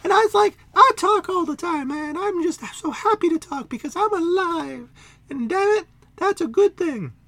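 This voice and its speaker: A tiny, squeaky male creature rambles on in surfer slang, his voice pitched very high. His pitch swoops and jumps all over the place as he whines and chatters without pause. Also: animated, vocal fry, bright, forceful tone, dry recording, exaggerated voice. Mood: chatty. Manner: rambling surfer-dude slang, talks nonstop.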